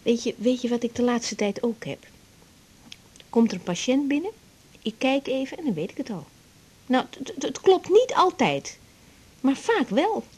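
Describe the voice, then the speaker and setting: An actress playing a nervous, intuitive young woman doctor in a radio drama, husky and opinionated. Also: high voice, sing-song, moderate pace, pauses often, darkish tone, heavily acted. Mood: confiding, chatty. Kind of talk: naturalistic radio drama dialogue.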